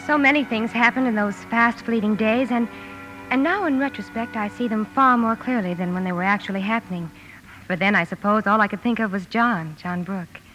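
A woman acting a wistful young narrator in a 1950s radio drama, reflective and measured with dramatic pauses. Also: medium pitch, a bit bright, natural voice, poor audio. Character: wistful young woman recalling her family's past. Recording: vintage radio recording with music.

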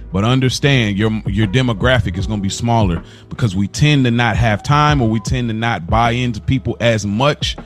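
A man co-hosting a podcast, talking in a casual, earnest way and often repeating himself. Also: medium pitch. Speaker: podcast co-host. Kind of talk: casual chat.

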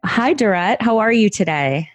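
A woman's voice: medium pitch, animated, normal speed, no room sound, soft background music. A woman hosting a podcast interview, effusive and enthusiastic as she talks with her guest. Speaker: podcast host.

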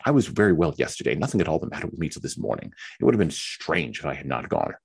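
A man's voice: rumbling low, sing-song, fast, vocal fry, breathy edge, full character voice. A man reading a novel aloud, voicing a fretful, self-pitying young married woman who whines and complains. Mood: complaining. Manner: whiny, complaining.

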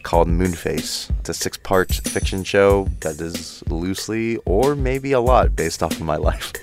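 A man's voice: low-pitched, unhurried, over music. An American man in his thirties talking casually as an interview guest and podcast producer.

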